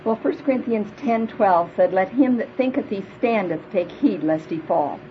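A woman's voice: medium pitch, expressive, normal speed, a little hiss or hum. Woman in her fifties for a plainspoken sermon to a women's group, big voice, dull live recording. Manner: earnest, prayerful, plainspoken. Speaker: speaker at a women's church retreat. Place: hall before a live audience.

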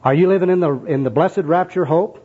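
A man's voice: high voice, fairly quick, husky, pitched above the performer's own voice. A man voicing a jargon-spouting religious zealot in a comic skit, florid, exclamatory and insistent. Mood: fervent, insistent. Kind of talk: comic skit acted out in a sermon. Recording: dull, muffled lo-fi recording.

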